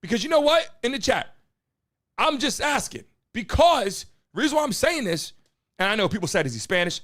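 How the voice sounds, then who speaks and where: A man hosting a live stream from his studio, talking loudly and bluntly and hyping up his chat. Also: very high-pitched, sing-song, a little fast. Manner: hype-man shouting at the chat. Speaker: live-stream show host. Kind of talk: loud, brash live-stream commentary. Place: home studio in Miami.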